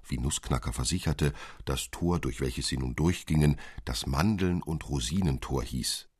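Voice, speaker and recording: A man narrating a literary fairy tale as an expressive, measured storyteller. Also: a bass voice, slightly rough, no room sound, studio quality.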